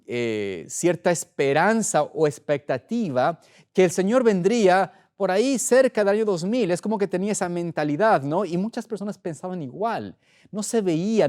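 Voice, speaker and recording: A middle-aged man preaching as a pastor in an earnest, deliberate way, pausing for emphasis. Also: sits high, darkish tone. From Ecuador. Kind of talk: sermon, opening with prayer.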